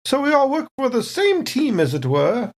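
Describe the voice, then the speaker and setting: A woman's voice: high-pitched, wildly swooping pitch, normal speed, darkish tone, full character voice. A woman playing a polite, evasive company captain in improvised sci-fi role-play, hesitant and genteel in her phrasing. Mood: coyly evasive.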